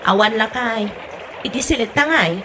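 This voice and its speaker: A woman's voice: fairly high pitch, animated, moderate pace, noisy background, lightly acted. A woman playing a bossy, worrying wife in an amateur comedy audio drama, speaking in an urging, conversational way.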